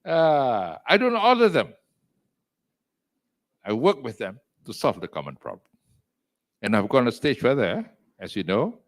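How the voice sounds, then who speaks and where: An older man with a Malaysian accent speaks with a loud, emphatic delivery. His pitch swings widely from low murmurs to sudden high exclamations, and he drops Malay words into his English. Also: sing-song, unhurried, long pauses. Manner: mixes in Malay words and phrases. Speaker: entrepreneur and executive chairman, interview guest. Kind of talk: interview over video call.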